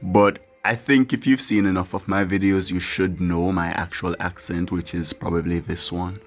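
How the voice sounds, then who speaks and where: A man with a deep, low-pitched voice and a lilt talks through a game session in a loose, wandering way. He is candid and drops the odd curse as he goes. His own music plays faintly underneath. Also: fairly even intonation, moderate pace. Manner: rambling, candid, swears casually. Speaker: gaming channel host. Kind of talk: casual gameplay commentary. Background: his own music playing faintly.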